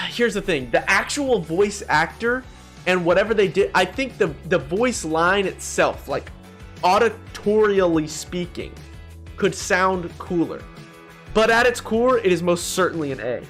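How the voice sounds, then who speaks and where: A young man with a slightly high voice, set over music. He gives casual gaming commentary as a video host, opinionated and full of slang and swearing. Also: lively intonation, a little slow, a bit bright. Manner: slangy, profane, opinionated, exclaiming. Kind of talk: casual tier-list commentary.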